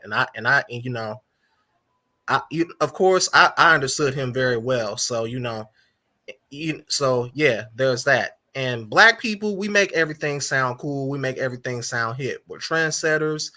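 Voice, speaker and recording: A man's voice: mid-range voice, moderate pace, pushed and bright. A man with a Wisconsin accent chatting casually, often stammering and repeating words. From Wisconsin, United States. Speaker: panel caller. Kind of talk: casual chat.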